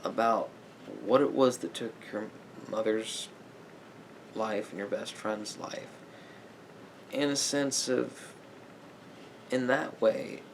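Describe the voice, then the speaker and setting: Slow, halting man as a gentle therapist. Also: lowish voice, vocal fry, slight background noise, rough recording. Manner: halting, repetitive, hedging, gently advising. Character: calm, sympathetic male therapist counselling a client. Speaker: ASMR performer playing a therapist. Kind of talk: ASMR roleplay of a therapy session.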